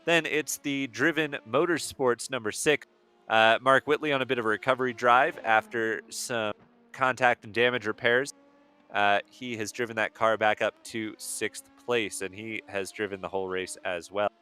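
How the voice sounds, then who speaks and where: A male commentator on a live sim racing stream, friendly and a little halting as he reads out the standings. His voice sounds bright and forward, and it comes through smooth and crisp. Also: medium pitch, fairly even intonation. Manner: friendly, laughs easily, halting with fillers. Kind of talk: live race commentary and chat.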